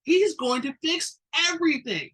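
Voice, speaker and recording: A woman voicing an excitable, kind-hearted female best friend in a dramatic storybook reading, breathless and earnest. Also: high voice, normal speed, pitched above the performer's own voice. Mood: hopeful, pleading.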